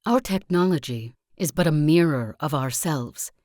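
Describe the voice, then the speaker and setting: Low, unhurried female zealot guru. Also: animated, a little mellow, dry recording, clean studio sound. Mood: solemn, inspiring. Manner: solemn, deliberate, sermon-like oratory. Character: zealous self-help guru and sect leader, preaching with calm conviction. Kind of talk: scripted video game character monologue.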